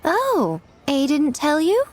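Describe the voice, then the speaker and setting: A woman playing a sly, poised shrine priestess in an anime game, speaking in a smooth, deliberate, theatrical way. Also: high-pitched, huge pitch swings, exaggerated voice. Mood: grand, playful.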